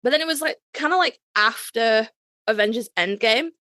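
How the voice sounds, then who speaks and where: Woman cohost w/ higher voice, really dry sound, blunt n sweary casual chat. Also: moderate pace, no echo. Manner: blunt, sweary, animated storytelling. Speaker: podcast co-host.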